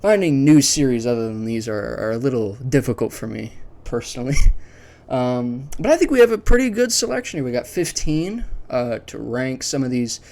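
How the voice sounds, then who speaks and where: A man's voice: medium pitch, very animated, normal speed, vocal fry, a little mellow. A man hosting a ranking video in a casual, unscripted way, thinking aloud conversationally and sharing his opinions. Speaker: video host ranking a series list.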